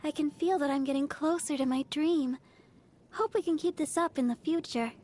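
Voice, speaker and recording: A woman voicing a very high-pitched, sweet young idol girl. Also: moderate pace, darkish tone, natural voice. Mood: heartfelt. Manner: sweet, earnest, bubbly. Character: cheerful, devoted young girl idol healer. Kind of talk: video game character voice lines.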